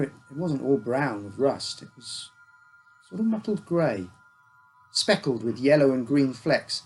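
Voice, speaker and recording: Male story narrator: measured, expressive, rough-edged husky voice, animated intonation, for an uncanny first-person tale. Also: medium pitch. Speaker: author reading own story.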